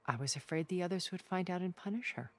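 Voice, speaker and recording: An actress playing a poised, secretive, long-lived woman in a video game cutscene, speaking in a measured, formal, eloquent way. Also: on the low side, natural voice. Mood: regretful, earnest. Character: poised, secretive thousand-year-old woman, refined space colonist.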